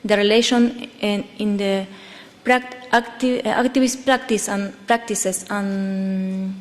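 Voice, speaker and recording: A woman talks at a conference in a mid-range voice, very slowly and with care, stopping often to find the English. A long hall reverb trails her words. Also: medium pitch, steady tone. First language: Spanish. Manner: careful, struggling for English words. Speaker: conference speaker. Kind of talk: halting conference discussion.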